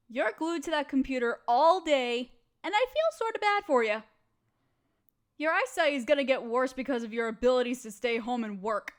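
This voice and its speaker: A woman plays a young female roommate cooped up at home in a casual audio drama. Her voice is high, and she is bored and restless. She chats in slang with a dramatic, playful grumble, speaking straight to the listener. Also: a bit bright. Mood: restless, sympathetic. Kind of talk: casual scripted roleplay audio, talking to the listener.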